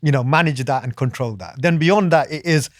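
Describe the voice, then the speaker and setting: Man, guest, slightly high voice, stammering restarts, conversational, dry recording. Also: brisk, no echo. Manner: hesitant restarts, frequent "yeah" and "right?" tags. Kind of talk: casual discussion.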